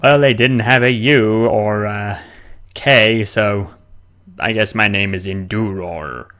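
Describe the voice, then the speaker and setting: A man's voice: fairly low pitch, takes it slowly, fairly bright tone. A man giving casual, playful commentary while playing a horror video game, chatting and muttering to himself. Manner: playful, chatty, sings nonsense sounds to himself; mutters and reads on-screen notes aloud. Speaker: gamer, let's player. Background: game music.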